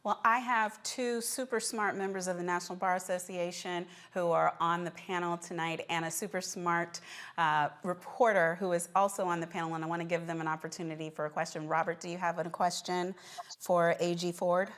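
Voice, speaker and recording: A woman with an American accent hosts a news interview. Her voice sits fairly low as she frames questions for her guests. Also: flat delivery, a little fast, fairly bright tone. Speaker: host, interviewer.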